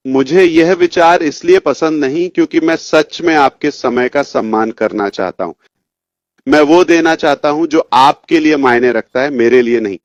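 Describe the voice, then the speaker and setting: Man in his fifties, unhurried pace, informal company address, direct and rambling, muffled dry recording. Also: medium pitch, no echo. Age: 50s. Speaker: company chief executive giving an update. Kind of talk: informal motivational address to members. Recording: dull lo-fi audio, dry.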